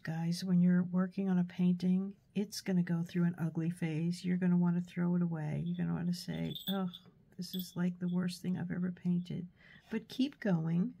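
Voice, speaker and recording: Woman with a cold talking through a painting lesson, stuffy, mellow and husky, easygoing. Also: fairly low pitch, highs cut off. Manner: relaxed, chatty, with fillers and soft asides. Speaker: art tutor. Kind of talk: casual painting tutorial.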